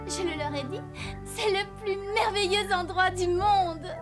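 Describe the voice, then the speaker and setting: A woman's voice: very high-pitched, measured pace. A woman voicing a spirited, devoted young mountain girl in a French cartoon dub, speaking earnestly and insistently. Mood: joyful. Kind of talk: French animated film dub. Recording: music behind the voice.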